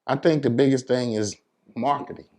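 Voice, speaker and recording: A man's voice: mid-range voice, normal speed, a little mellow. A man from New York City speaking as a DJ in a casual interview, giving emphatic advice in informal, slangy talk. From New York City, United States. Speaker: DJ being interviewed.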